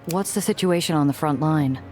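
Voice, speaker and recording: A woman's voice: low-pitched, brisk, a little mellow, soft background music, lightly acted. An actress playing a wry, streetwise woman protagonist in a narrative video game, with a dry delivery. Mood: curious, dry.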